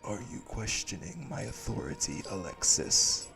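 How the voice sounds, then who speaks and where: A man's voice: fairly low pitch, very animated, moderate pace, vocal fry, music in the background, full character voice. A man playing a cold, domineering blood-magic villain in an audio drama, clipped and imperious. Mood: cold displeasure. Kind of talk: audio roleplay drama acting.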